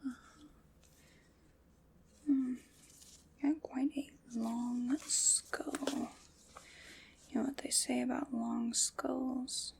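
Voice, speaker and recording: A woman voicing a calm, teasing female armorer who measures a customer for armor, speaking in soft, mostly unvoiced ASMR whispers with gentle precision and dry jokes. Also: high-pitched, extremely slow, vocal fry, long pauses, slight background noise, exaggerated voice. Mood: hushed, attentive. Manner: gentle, precise and wryly joking. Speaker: ASMR roleplay performer.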